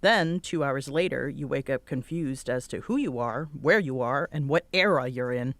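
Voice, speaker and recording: A woman's voice: a bass voice, animated, moderate pace, no room sound. A young woman playing a grumpy, teasing tsundere roommate in an audio roleplay, with a sarcastic, huffy delivery. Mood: teasing, amused. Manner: sarcastic, huffy, sighing exasperation with soft spots. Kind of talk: roleplay audio drama, conversational acting.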